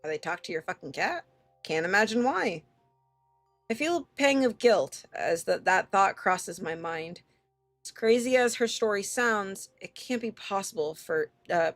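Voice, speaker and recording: A woman's voice: fairly low pitch, expressive, moderate pace, a bit bright, faint music behind. A woman streamer reading a visual novel aloud expressively, with casual, sweary reactions.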